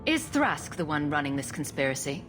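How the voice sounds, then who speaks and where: An actress playing a composed, direct heroic adventurer in a video game, questioning plainly. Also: female voice, sits low, big pitch swings, moderate pace, over music. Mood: firm, inquiring. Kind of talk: dramatic video game dialogue.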